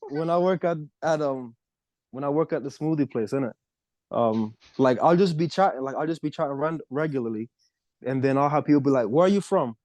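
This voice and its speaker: A man's voice: a little high, a little fast, darkish tone, no room sound. A young American man chatting casually as a podcast co-host, rambling with lots of fillers. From United States. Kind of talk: casual chat.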